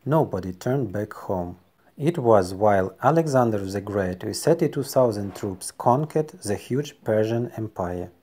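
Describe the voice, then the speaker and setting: Ukrainian guy narrating history in english, lowish voice, careful second-language delivery. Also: mellow. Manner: careful, deliberate non-native delivery.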